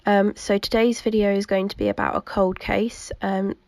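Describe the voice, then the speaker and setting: A young woman recovering from the flu, telling a true crime story while colouring, with lots of ums and a soft, unhurried delivery. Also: mid-range voice, flat delivery, vocal fry, dry recording. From United Kingdom.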